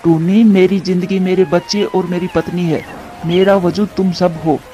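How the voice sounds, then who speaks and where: A man's voice: high-pitched, flat delivery, moderate pace, mellow, lightly acted. A man voicing a brave, protective father pigeon in a moral cartoon, earnest and melodramatic. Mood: determined, emotional. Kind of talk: Hindi moral cartoon dubbing. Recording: dull lo-fi sound with music.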